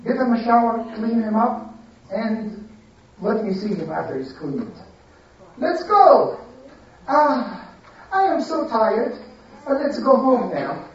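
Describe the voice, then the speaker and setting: Woman putting on a shrill spoiled rich lady. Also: dull audio, slight background noise. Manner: shrill, whiny commands. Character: spoiled bossy rich lady, lazy and demanding. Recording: echoey room, voice from far off.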